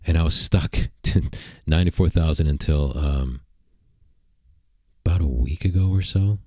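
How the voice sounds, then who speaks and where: A man with a very deep voice chats on his livestream from a computer desk. He rambles along and throws out crude, provocative jokes at his viewers. His voice sounds close and dry, with no room echo. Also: moderate pace, mellow. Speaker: streamer and channel host. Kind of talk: casual livestream chat. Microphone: desk mic at a computer.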